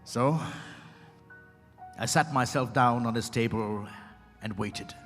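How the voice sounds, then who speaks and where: A man's voice: medium pitch, lightly acted. Man acting reflective storyteller, echoey hall, music behind. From India. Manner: measured, theatrical, short weighted phrases. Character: reflective storyteller recounting his own encounter. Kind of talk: dramatized first-person story narration. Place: event hall at a hotel.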